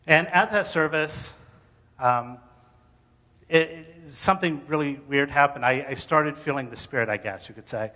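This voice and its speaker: A bright, somewhat high-voiced man shares a personal testimony in a hall with long reverb. He talks informally and earnestly, feeling his way through phrases as he addresses listeners. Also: moderate pace. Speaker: speaker sharing faith story. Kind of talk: personal testimony told to an audience.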